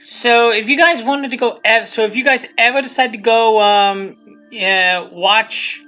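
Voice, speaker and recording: Brief: casual series commentator, bright and forward, halting, repetitive delivery, music underneath. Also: mid-range voice, steady tone, dull audio. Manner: halting, rambling, repeats phrases. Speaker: commentator on own abridged cartoon series. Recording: music behind the voice. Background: cartoon episode audio with music.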